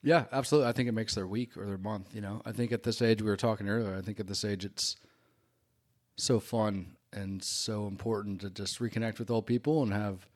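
A man's voice: on the low side, fairly even intonation, fairly quick. A man in his forties, a photographer, chatting as a podcast guest in a friendly, self-deprecating way with light jokes. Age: 40s. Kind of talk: casual conversational interview.

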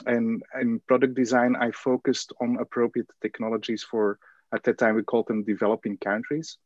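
A man's voice: medium pitch, little pitch movement, normal speed, a little mellow, no echo. A man from Belgium answering interview questions about his upbringing, hesitant with frequent fillers. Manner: hesitant, many fillers and restarts. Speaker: guest. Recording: dull lo-fi dry sound.

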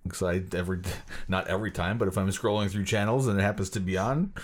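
A man's voice: sits low, fast. A man co-hosting a podcast, chatting casually about films in a loose, rambling way full of "like". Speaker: podcast co-host. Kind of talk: casual film discussion.

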